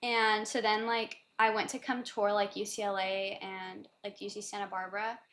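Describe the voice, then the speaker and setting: A woman's voice: medium pitch, flat delivery, normal speed, a bit bright, slight background noise. A young adult woman telling her life story as a podcast guest, in a chatty way, with lots of "like" fillers. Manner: chatty, heavy use of "like" as filler. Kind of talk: casual conversational storytelling.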